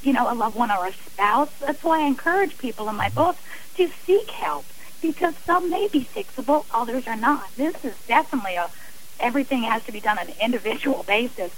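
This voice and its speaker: A woman's voice: a little high, animated, fairly quick, rough recording. A woman speaking as an interview guest on radio, earnest and emphatic, explaining with examples and repeated phrasing. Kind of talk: radio interview, personal and advisory talk.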